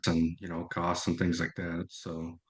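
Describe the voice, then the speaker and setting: A low-pitched man speaks on a sales call. He is reserved and hesitant, holding back as he gives his answers. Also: completely flat delivery, measured pace. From United States. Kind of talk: reserved phone-call conversation.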